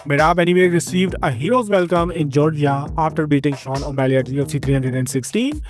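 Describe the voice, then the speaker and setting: A man's voice: a little high, fast talker. A man with an Indian accent hosting a combat sports news update in scripted narration. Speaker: host of an MMA news channel. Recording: background music under the voice. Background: music.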